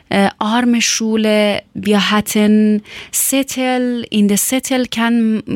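A woman from Iran, speaking German as a learned language, tells her story haltingly and searches for words with many fillers. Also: fairly high pitch, no echo. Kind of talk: personal account.

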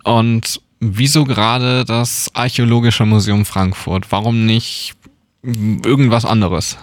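A man's voice: mid-range voice, steady tone, takes it slowly, no room sound, studio quality. A man of twenty presenting a radio segment and interviewing a guest in an upbeat, friendly way. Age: 20s.

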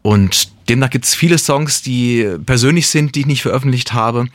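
A man's voice: mid-range voice, moderate pace, no room sound. A man, a singer-songwriter and native German speaker, talking about his music in a casual, reflective way, with many restarts and the odd laugh. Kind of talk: casual interview talk.

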